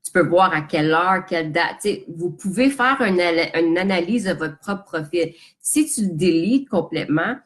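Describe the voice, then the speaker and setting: A woman with a Quebec French accent coaching her audience live, speaking casually and directly and dropping English terms into her French. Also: fairly low pitch. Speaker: business coach. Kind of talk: live social media coaching talk. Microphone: phone or webcam on a live stream.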